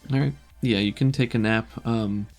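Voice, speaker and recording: Low, gravelly male game master. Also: fairly even intonation, soft background music. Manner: measured, descriptive storytelling. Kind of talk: descriptive tabletop game narration.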